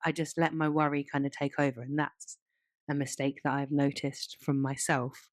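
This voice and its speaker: A woman's voice: low-pitched, level tone, moderate pace, no echo, clean studio sound. A woman co-hosting a casual podcast, talking informally and candidly with some self-deprecation. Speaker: podcast co-host.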